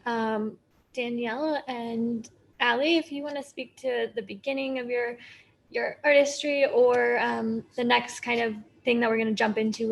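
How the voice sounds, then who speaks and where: Gravelly, even-voiced woman moderator. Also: a little high. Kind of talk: moderating a panel discussion.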